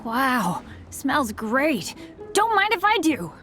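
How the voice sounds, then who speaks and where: Boyish young game adventurer: earnest, good-natured, fairly high, lively range. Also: female voice, slow, faint music behind, exaggerated voice. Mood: enthusiastic. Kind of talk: video game character acting.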